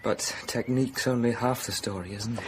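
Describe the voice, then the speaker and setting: An actor playing a worldly, pragmatic young painter in a radio drama, sardonic and persuasive with a trace of envy. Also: male voice, medium pitch, a little fast, slight background noise, lightly acted, low-quality recording. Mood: wistful, defeated. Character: worldly, pragmatic young male painter friend, wry and envious.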